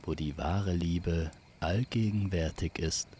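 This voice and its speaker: A man's voice: sits low, slow, darkish tone, a little hiss or hum. A man narrating a scripted fairy tale, speaking intimately to the listener as to a friend. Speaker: storyteller.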